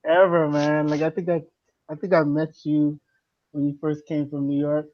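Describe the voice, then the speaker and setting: A man from the Bahamas, a guest on a live podcast, joining by video call and chatting in a relaxed, slangy way. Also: a little high, moderate pace, lo-fi sound. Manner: laid-back, slangy, lots of "you know". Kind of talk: casual video-call chat.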